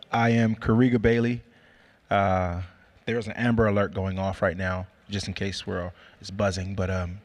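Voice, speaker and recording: Easygoing male podcast co-host, slightly low voice.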